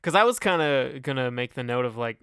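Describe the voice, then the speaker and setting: A man's voice: medium pitch, animated, a little fast, a bit bright, clean tone, no room sound, studio quality. A man co-hosting a podcast, chatting casually about music and explaining things in a loose, hesitant way. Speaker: podcast co-host. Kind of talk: casual music discussion chat.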